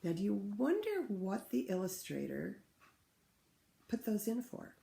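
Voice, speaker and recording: A woman reading a picture book aloud to children in an expressive, teacherly way, pausing to ask them questions. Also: mid-range voice, gravelly. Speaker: read-aloud host for children. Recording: roomy, echoing room sound.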